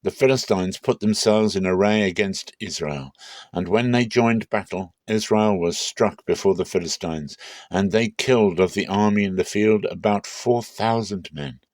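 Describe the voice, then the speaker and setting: Man, fairly deep voice, dramatic Bible reading, measured, solemn, expressive, dry sound. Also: no echo. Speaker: Bible reader.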